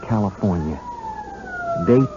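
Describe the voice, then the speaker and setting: Dogged male cop for a vintage radio play: low-pitched, very animated delivery, needling, folksy explanations. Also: slow pace, exaggerated voice. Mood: confidently triumphant. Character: shrewd male police detective, methodical, dogged, quietly triumphant. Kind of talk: 1970s radio drama acting. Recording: dull, hissy 1970s radio broadcast recording.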